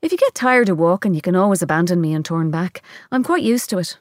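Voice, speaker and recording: A woman's voice: fairly low pitch, big pitch swings, quick pace, no echo, clean studio sound. Woman reading as a playful, wry young woman. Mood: playful, teasing. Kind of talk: audiobook-style novel reading.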